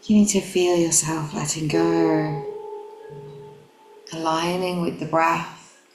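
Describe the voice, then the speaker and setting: A woman guiding a meditation in a gentle, calm, instructional manner. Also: low voice, slow pace. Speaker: meditation guide. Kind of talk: guided meditation. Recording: roomy echo, music behind. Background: background music.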